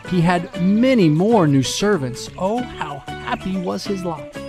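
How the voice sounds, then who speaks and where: A man narrating a scripted rhyming Bible story in a measured, deliberate way. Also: high-pitched, lively intonation, music in the background.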